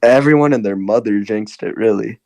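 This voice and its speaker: A hoarse young man doing excited post-game commentary, laughing often and breaking into shouts. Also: lowish voice, brisk. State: hoarse, lost voice.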